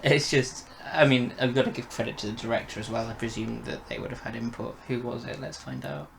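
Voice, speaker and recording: A man's voice: medium pitch, steady tone, a little fast, creaky, noisy background. A man co-hosting a casual, enthusiastic review conversation. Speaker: podcast co-host reviewing audio dramas.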